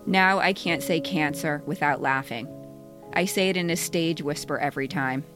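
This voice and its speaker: A middle-aged American woman reading a scripted personal story in a measured way, in short plain sentences. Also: deep voice, music in the background. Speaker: podcast co-host reading their own essay.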